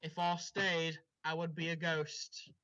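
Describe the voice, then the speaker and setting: Guy with a high voice reading a story out loud to his friends, muffled lo-fi mic. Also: completely flat delivery, slow pace. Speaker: story reader.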